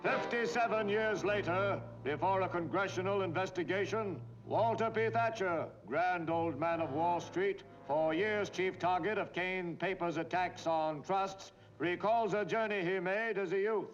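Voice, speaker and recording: A man's voice: high voice, a little slow, hardly any pauses. An American man playing a pompous, portentous newsreel announcer, declaiming in grand 1940s style over music. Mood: brisk, grand. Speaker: newsreel narrator. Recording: dull 1940s film soundtrack, loud music. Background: loud orchestral music.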